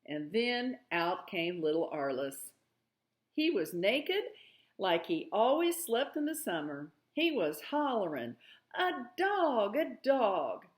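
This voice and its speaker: A woman narrating a storybook aloud in an expressive way. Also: lowish voice, slow.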